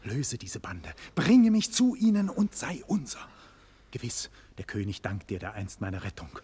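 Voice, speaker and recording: An actor playing a dignified condemned nobleman in a 1940s German radio play, declaiming solemnly with breathy, husky murmurs and loud cries. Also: medium pitch, huge pitch swings, measured pace, heavily acted. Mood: urgent, pleading. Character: doomed nobleman facing execution, dignified, passionate, grave. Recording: vintage 1940s radio studio recording.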